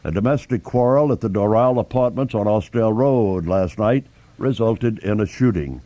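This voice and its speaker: A man reads local news headlines in a measured, matter-of-fact tone. His voice is warm and mellow, recorded close and dry with no room sound, as on a 1970s station aircheck. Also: fairly low pitch, no echo, dull audio. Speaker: local newscaster. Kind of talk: radio news reading.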